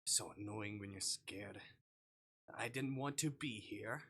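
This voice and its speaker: A man's voice: on the low side, slow, pushed and bright, breathy edge, voice pushed down, full character voice. A man voicing a mysterious male dream visitor from a visual novel, aloof, teasing and easily annoyed, with a dry, curt delivery. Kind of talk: visual novel character reading.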